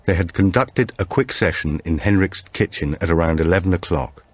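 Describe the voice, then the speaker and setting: A man reading a thriller audiobook as narrator, in a measured, suspenseful and dramatic storytelling manner. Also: low-pitched, animated, dry recording.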